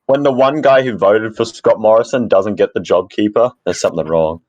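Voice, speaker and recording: A man's voice: on the low side, fairly quick, a little mellow, no room sound. A man, talking casually as a podcast panelist on a dull mic. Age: 20s. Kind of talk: casual discussion.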